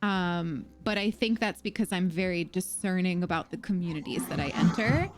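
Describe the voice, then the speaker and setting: A woman's voice: fairly low pitch, normal speed, background noise. A young adult woman hosting a casual livestream chat, talking in a loose, filler-heavy way and laughing easily.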